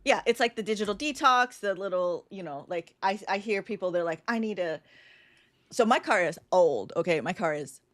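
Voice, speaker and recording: A woman's voice: medium pitch, animated, moderate pace, dry recording. A woman co-hosting a podcast, thinking aloud casually with many fillers. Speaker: podcast co-host. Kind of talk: casual live podcast brainstorm.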